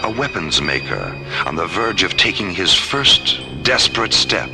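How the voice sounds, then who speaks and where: A man narrating a scripted radio drama in a grave, measured, theatrical manner. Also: sits low, big pitch swings, over music.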